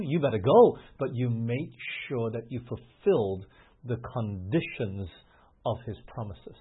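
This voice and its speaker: Male preacher, earnest and emphatic, raspy breathy tone, lo-fi phone-like recording. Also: mid-range voice. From grew up in England, Australia and the US. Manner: earnest, rising to emphatic, frequent restarts. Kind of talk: sermon.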